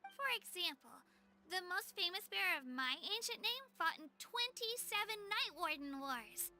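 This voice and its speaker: Very high, girlish young adventurer. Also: very animated, normal speed, sharp tone, a little hiss or hum, exaggerated voice. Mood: thoughtful. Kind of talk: video game voice acting. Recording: music behind the voice.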